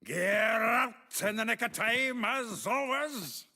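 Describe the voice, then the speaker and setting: An actor voicing a feisty, foul-mouthed dwarf warrior in a fantasy video game, hearty and blustering. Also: male voice, extremely high pitch, expressive, slow, pushed and bright, a little hiss or hum, exaggerated voice. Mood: hearty, jovial.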